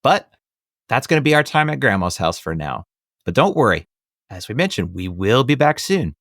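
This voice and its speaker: A man's voice: mid-range voice, sing-song, normal speed, a bit bright, no echo, studio quality. A man in his thirties hosting a podcast, chatting casually about films in a rambling, emphatic way. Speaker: podcast host. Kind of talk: casual film review chat.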